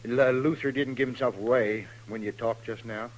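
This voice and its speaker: A man's voice: mid-range voice, fairly even intonation, moderate pace, natural voice, rough recording. Guy doing shady prickly cattleman in a 50s radio western, medium voice, folksy and suspicious. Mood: sly, probing. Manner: probing, folksy, touchy. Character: suspicious, shifty, prickly male frontier cattleman. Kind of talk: 1950s radio drama acting.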